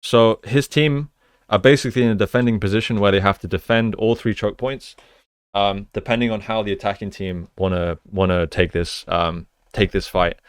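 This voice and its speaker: A man's voice: lowish voice, steady tone, normal speed, clear, resonant tone, no echo, studio quality. A man giving casual, explanatory gameplay commentary as an esports coach, using gamer slang. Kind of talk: live gameplay commentary and breakdown.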